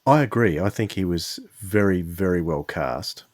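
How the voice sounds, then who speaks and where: A man with a fairly low voice hosting a podcast in a casual, conversational style. He rambles a little, pausing and rewording as he goes, and the sound is very dry and close. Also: animated, a little mellow, dry recording. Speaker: podcast host.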